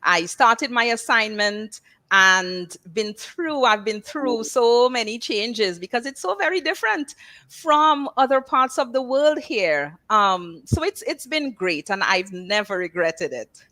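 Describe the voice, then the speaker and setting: A middle-aged woman with a Trinidadian accent and a bright, forceful voice speaks on a panel. She talks in an easy, conversational way and often says "you know". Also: a little high, lively intonation, measured pace. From Trinidad and Tobago. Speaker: nursing services director. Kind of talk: panel discussion.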